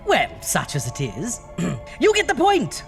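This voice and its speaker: A high-pitched, pompous undead horror host. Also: male voice, wildly swooping pitch, measured pace, vocal fry, fairly bright tone, soft background music, heavily acted. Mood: haughty, scornful. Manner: theatrical, pompous, alliterative. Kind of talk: campy horror host radio acting.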